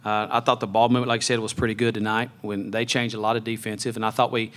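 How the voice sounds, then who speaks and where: Brief: middle-aged male coach, quick and level, postgame presser on a table mic. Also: on the low side, little pitch movement, fairly bright tone. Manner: measured, many fillers and restarts. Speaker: head basketball coach. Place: press conference room. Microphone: table mic on a stand.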